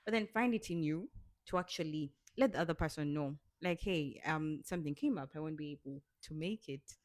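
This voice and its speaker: Earnest young woman, lower voice. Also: brisk, dry recording, studio quality. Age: 20s. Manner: earnest, advice-giving, animated asides. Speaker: podcast co-host. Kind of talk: casual chat.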